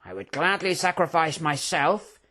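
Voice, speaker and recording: A man's voice: fairly low pitch, animated, a little slow, fairly bright tone. A proud army general from a storybook, as a man reads him. He is stern, principled and defiant, with formal, emphatic speech. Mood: defiant, grudging.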